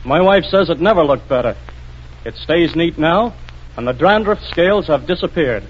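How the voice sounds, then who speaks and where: A man's voice: a little high, animated, moderate pace, breathy edge. A man giving a scripted testimonial in the style of a 1940s radio advertisement. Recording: dull, noisy vintage radio recording.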